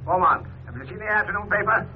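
An actor playing a curt, coldly displeased boss in a 1950s radio drama, clipped, formal and ominous. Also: male voice, high-pitched, lively intonation, fast talker. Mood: coldly stern. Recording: tinny, noisy old radio phone line.

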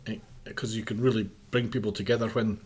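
A man's voice: lowish voice, little pitch movement, a little fast, a little mellow, breathy edge. A man, speaking earnestly as a guest on a podcast and often restarting his sentences while he gives advice. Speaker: mental health charity policy director.

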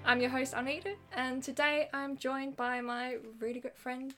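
A woman's voice: high-pitched, steady tone, moderate pace, sharp tone, soft background music. Giggly young woman hosting a podcast. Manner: giggly, rambling, restarts questions. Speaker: podcast host. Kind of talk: casual interview chat.

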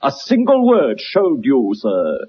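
A brilliant gentleman detective in a radio play. He lectures in formal, methodical phrases with a very lively, swooping delivery and a slightly husky edge. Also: medium pitch, slow, heavily acted. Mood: assured, explanatory. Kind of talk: radio drama acting.

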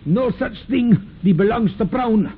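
An actor playing an old, pious farmer, stern yet anxious, with creaky archaic speech in old radio drama style. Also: male voice, very high-pitched, unhurried. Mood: stern, threatening. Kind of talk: 1940s radio acting. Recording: tinny, noisy old radio recording.

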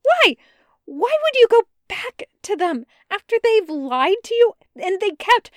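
A woman reader voicing a young woman who pleads earnestly and fiercely, her voice climbing high. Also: expressive, slow pace, no echo. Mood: angry.

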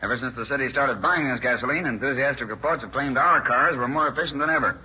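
An enthusiastic chief chemist in an old radio ad sketch. His voice is medium in pitch and piercingly bright, with emphatic explanations. The recording is muffled. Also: quick pace, breathy edge, heavily acted. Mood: earnest, pleased. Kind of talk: 1930s radio advertising sketch acting. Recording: dull old radio recording, faint noise.

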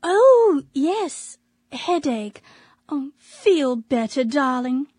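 High, animated Victorian aunt. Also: female voice, extremely slow, dry recording, studio quality, heavily acted. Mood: flustered, pleased. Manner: refined, earnest, fondly advising. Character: affectionate thirty-year-old widowed Victorian English aunt. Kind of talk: radio drama acting.

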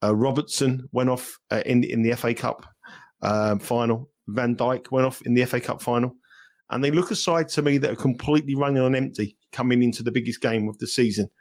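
A man's voice: medium pitch, brisk. A man with a London accent, a guest analyst breaking down football betting on a podcast. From England. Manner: chatty, animated football analysis. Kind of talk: podcast interview.

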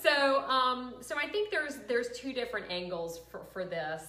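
Middle-aged female host, bright and upbeat delivery, echoey room sound. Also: fairly high pitch, very animated. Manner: chatty and laughing often, quick asides. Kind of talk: casual chat.

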